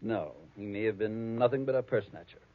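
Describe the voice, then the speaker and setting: An actor playing a prim, conniving, avaricious heir, speaking formally and precisely in 1940s radio style. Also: male voice, lowish voice, brisk, close to an everyday voice. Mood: precise, superior. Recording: thin, phone-like old radio recording.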